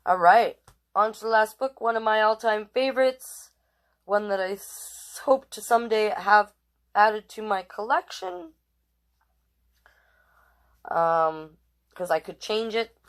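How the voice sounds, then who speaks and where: A woman's voice: fairly low pitch, smooth. A woman hosting a children's storytime, chatting directly with viewers and reading picture books aloud in a casual way. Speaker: storytime host and reader.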